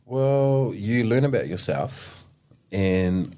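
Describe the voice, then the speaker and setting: A man's voice: lowish voice, expressive, takes it slowly, warm tone. A young man from New Zealand, a podcast guest who answers hesitantly and laughs often. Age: young adult. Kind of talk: casual interview chat.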